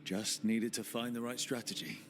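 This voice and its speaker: An actor playing a steady, dryly irreverent warden hero in a video game, direct and informal in delivery. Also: male voice, medium pitch, normal speed, music in the background, close to an everyday voice. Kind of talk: video game cutscene acting.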